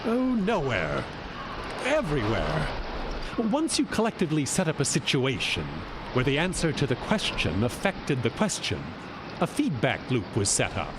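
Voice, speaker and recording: Lively, swooping, chatty god. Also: male voice, medium pitch, moderate pace. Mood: explaining. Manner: conversational, explanatory, emphatic. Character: chatty, knowing male god explaining to mortals. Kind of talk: audio drama acting. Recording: noisy outdoor ambience behind.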